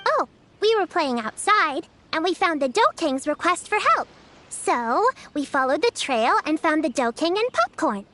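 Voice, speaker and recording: A woman's voice: high-pitched, sing-song, moderate pace, slight background noise, exaggerated voice. A woman voicing a small, cheerful fairy companion in a video game, chirpy and childlike. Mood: curious, chatty. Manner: chirpy, third-person self-reference. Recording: music playing behind the voice. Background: loud children's game music.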